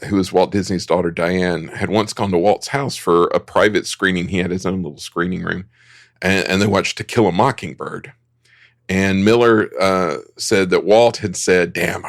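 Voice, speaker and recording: Male podcast host, dry close sound, fry in the voice, semi-scripted narration loosened with casual asides. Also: sits low, dry recording. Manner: conversational, joking asides.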